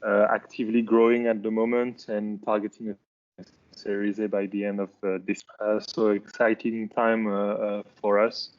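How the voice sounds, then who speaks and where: Male company founder on a panel, mid pitch, hesitant with lots of fillers, lo-fi call sound. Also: flat delivery, normal speed, darkish tone. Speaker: startup co-founder and CEO, panelist. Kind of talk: self-introduction on a panel.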